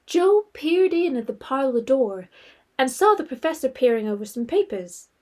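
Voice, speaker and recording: Composed woman narrator, high voice, literary period storytelling for audio drama. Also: very animated, moderate pace, a little mellow.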